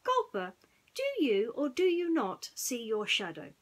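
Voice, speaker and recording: A woman's voice: on the high side, very animated, a little slow. A woman voicing a kindly, knowing young boy in a storybook, explaining things patiently. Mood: polite, decisive.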